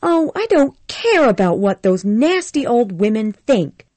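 A headstrong young Southern belle, fretful and pleading in a raised, lilting voice, as a woman reading a storybook puts her on. Her pitch swings widely. The recording is dry and close. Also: sing-song, takes it slowly, a little mellow, no echo, heavily acted.

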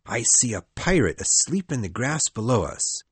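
A woman's voice: extremely low pitch, sing-song, moderate pace, slightly rough, no room sound, pitched below the performer's own voice, exaggerated voice. A woman reading aloud, voicing a boastful, fearless young boy leader in a matter-of-fact, cocky way.

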